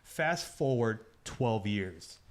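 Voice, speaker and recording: A man's voice: lowish voice, animated, drawn-out words. A man chatting casually as a podcast co-host, blunt and informal, swearing freely. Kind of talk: casual chat.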